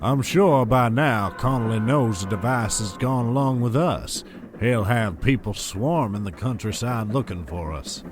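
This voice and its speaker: An actor as a calculating, condescending husband, music behind. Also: male voice, medium pitch, a little slow, lightly acted. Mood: measured. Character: calculating husband obsessed with a powerful device. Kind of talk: audio drama acting.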